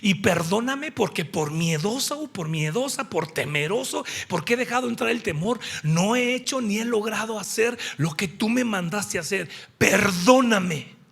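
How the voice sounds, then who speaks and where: A middle-aged or older man with a Mexican accent preaching an animated, conversational sermon, emphatic and playful as he acts out bits of dialogue. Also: high-pitched, moderate pace, creaky, breathy edge. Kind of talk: animated sermon with anecdotes and Bible quotations.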